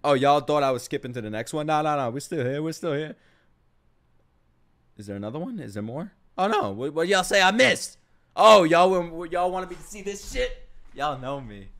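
A man's voice: a little high, lively intonation, moderate pace, fairly bright tone. A man streaming live reactions to game videos, joking and swearing in slangy, exclamatory bursts. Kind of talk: live reaction commentary, crude slangy banter. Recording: music playing behind the voice. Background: game trailer music.

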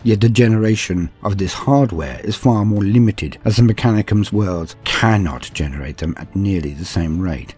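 A man hosting a scripted lore narration, with a husky voice and a theatrical, florid delivery full of drawn-out emphasis. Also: on the low side, darkish tone. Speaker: host and narrator of a tabletop wargame lore show. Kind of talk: scripted narration, video essay. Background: background music.

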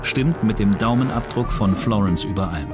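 A man's voice: fairly low pitch, moderate pace. A man reading scripted true-crime documentary narration in pronunciation. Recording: music bed under the voice. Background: background music.

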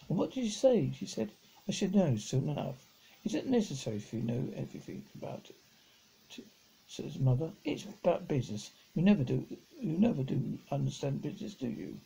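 A middle-aged man with a husky, gravelly voice reads a children's novel aloud, halting and stumbling over words as he goes. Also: a little high, warm tone, low-quality recording. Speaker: amateur storybook reader. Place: indoors at home, seated on a sofa.

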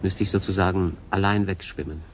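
An actor playing an earnest, brooding stranded man in a science fiction radio drama, speaking in a deliberate, probing way. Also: deep voice, fairly even intonation, slight background noise, close to an everyday voice. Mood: pensive, resigned.

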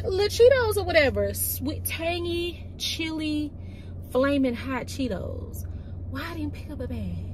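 A woman's voice: high-pitched, background noise. Chatty woman vlogging from her car. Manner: chatty, animated, folksy asides to viewers. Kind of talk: casual vlog chat to camera. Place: parked car, then home room. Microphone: phone camera at arm's length.

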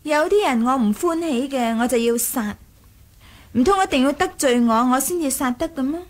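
A woman's voice: high voice, normal speed, natural voice. A woman playing a proud, willful young princess in a radio drama, coy and imperious, teasing and ruthless. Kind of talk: Cantonese radio drama acting, 1980s.

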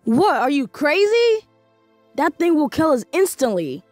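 A woman's voice: high voice, big pitch swings, measured pace, a little mellow, no echo, faint music behind. A woman voice acting a cautious, frightened spaceship survivor in an amateur game roleplay. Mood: alarmed.

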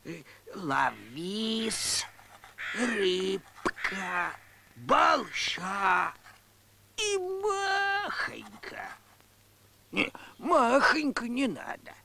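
A woman voicing a slow-witted, greedy wolf in a puppet cartoon, halting and stumbling over words. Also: medium pitch, wildly swooping pitch, gravelly, pushed and bright, full character voice. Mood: muddled, eager. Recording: noisy old film soundtrack.

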